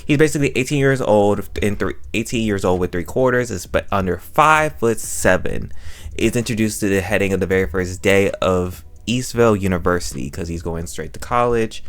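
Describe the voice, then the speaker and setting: Male YouTube reviewer, low register, chatty and self-aware, loops back over phrases playfully, close-miked. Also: animated, moderate pace. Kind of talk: casual chatty review to camera. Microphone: microphone held very close to the mouth.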